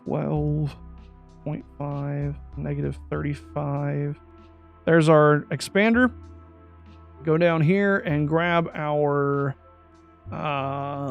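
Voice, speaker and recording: A man giving a step-by-step tutorial on a USB microphone, talking his way through each setting and correcting himself as he goes. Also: a little mellow. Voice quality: describes his own voice as high-pitched. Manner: talks through steps aloud, corrects himself mid-sentence. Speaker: streamer explaining audio setup. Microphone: USB desk microphone.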